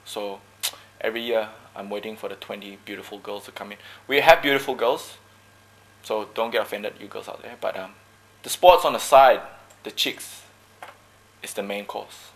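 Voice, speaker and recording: Joking Malaysian-born man, low voice. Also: moderate pace, low-quality recording. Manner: joking, chatty, relaxed. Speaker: dragon boat paddler. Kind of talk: casual interview answers.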